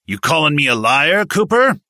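A man voicing a gruff, scornful male army drill sergeant who barks orders. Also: deep voice, animated, normal speed, pushed and bright, no echo, studio quality, heavily acted. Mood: taunting. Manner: barking commands.

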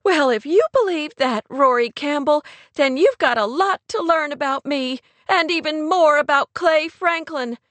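Woman playing a high-pitched, distraught young woman. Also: a little slow, dry recording. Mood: indignant, bossy. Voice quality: trembling, tearful. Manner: sharp, demanding, near hysterical. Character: distraught, angry young woman, sarcastic and pushy.

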